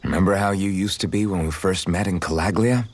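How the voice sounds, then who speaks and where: An earnest young swordsman from a fantasy game. His voice sits fairly low with a husky edge. He speaks gently and sincerely, and turns awkward when flustered. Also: a little fast. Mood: friendly, teasing. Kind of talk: video game cutscene acting.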